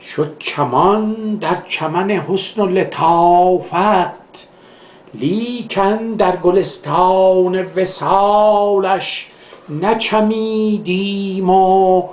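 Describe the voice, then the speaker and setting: A man from Iran, a literature professor, recites classical poetry in a measured, declamatory way, drawing out the line endings. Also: high voice. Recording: echoey, reverberant room sound.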